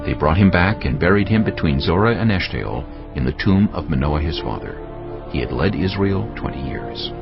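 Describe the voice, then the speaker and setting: A man's voice: low voice, lively intonation, moderate pace, vocal fry, muffled recording. A man narrating scripture as a dramatised audiobook in a solemn storytelling manner. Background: music.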